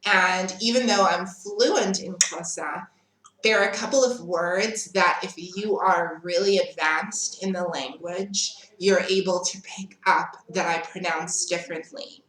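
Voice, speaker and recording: A woman's voice: fairly low pitch, steady tone, a little slow, a bit bright. A young South African woman telling a story in a casual vlog, chatty, frank and unbothered. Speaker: vlogger. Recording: echoey room.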